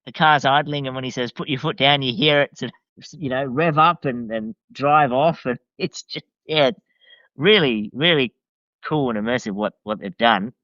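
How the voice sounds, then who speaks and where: Halting Australian man, slightly muffled sound. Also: medium pitch, pushed and bright, dry recording. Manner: hesitant, halting, enthusiastic. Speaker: podcast guest. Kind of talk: casual chat.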